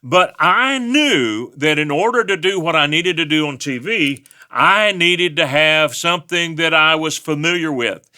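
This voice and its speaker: Male pastor, West Texas accent, folksy storyteller, forceful voice pitched a bit high. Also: lively intonation, a little slow, hardly any pauses, smooth. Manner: folksy storytelling with personal anecdotes. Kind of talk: sermon.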